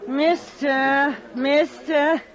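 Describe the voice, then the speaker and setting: A woman's voice: sits high, fairly even intonation, extremely slow, crowd noise. A woman playing a weepy, tipsy London barfly, in old-time radio drama style. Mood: insistent. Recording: dull lo-fi radio recording.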